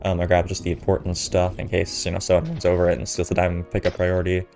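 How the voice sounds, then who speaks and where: A man's voice: low-pitched, completely flat delivery, quick pace, gravelly, over music. A young man with a stuffy nose from a cold, giving casual, chatty voiceover commentary over gameplay and filling gaps with ums. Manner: casual, filler-heavy, chatty. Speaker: gaming commentator.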